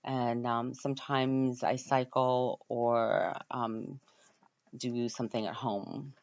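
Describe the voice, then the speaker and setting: A woman with a Ukrainian accent giving an informal self-introduction as a student, often pausing to search for her words. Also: extremely low pitch, fairly even intonation, darkish tone, highs cut off. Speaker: university student introducing herself.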